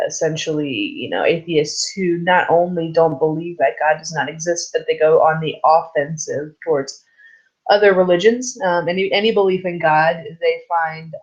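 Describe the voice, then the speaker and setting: A woman teaching a recorded church lesson off the cuff, hoarse from a sore throat, with many fillers and restarts. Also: on the low side. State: sore throat, losing her voice. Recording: slightly dull, lo-fi recording.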